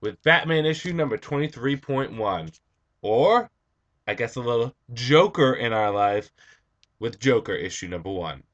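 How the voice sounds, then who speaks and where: Hoarse, raspy young guy for an eager, rambling comic review on a webcam. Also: mid-range voice, expressive, moderate pace, a bit bright, dull audio. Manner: enthusiastic, chatty, with frequent um and uh. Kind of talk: casual video review. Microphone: computer webcam mic.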